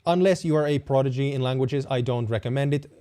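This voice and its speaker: A man's voice: medium pitch, fast, mellow. A man from Finland, hosting a live-stream Q&A and giving frank, blunt advice with frequent fillers and restarts. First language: Finnish. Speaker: host answering viewer questions.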